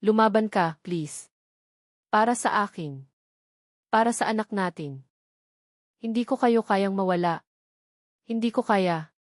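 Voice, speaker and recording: A woman's voice: medium pitch, unhurried, long pauses, no echo, studio quality, natural voice. A woman voicing a remorseful, grief-stricken man pleading tearfully in a romantic audio drama. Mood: desperate pleading. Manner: sobbing, pleading.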